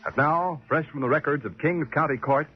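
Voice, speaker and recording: A man's voice: a little high, brisk. A man making formal scripted announcements as a 1940s radio announcer. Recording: muffled 1940s radio, loud organ music.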